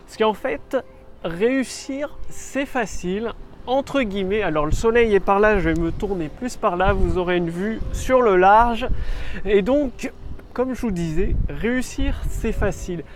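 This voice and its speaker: A man's voice: high voice, unhurried, slight background noise. A man with a cold giving business coaching in a casual vlog, standing outdoors on a rock on an island, repeating his points emphatically. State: has a cold.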